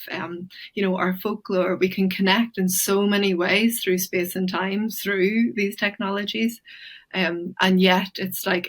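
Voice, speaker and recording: A woman speaking as an interview guest, reflective and thoughtful, pausing often with fillers and restarts. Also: on the low side.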